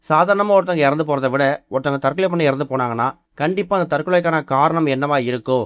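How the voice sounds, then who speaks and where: A man's voice: a little high, dry recording, studio quality. A man presenting entertainment news in a scripted narration style.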